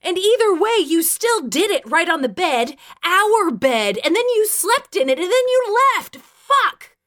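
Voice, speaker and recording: A woman narrator playing a furious, wounded wife, blunt and forceful, in dramatic audiobook dialogue. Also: a little high, very animated, fairly quick. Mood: furious, yelling. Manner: forceful, cursing, demanding.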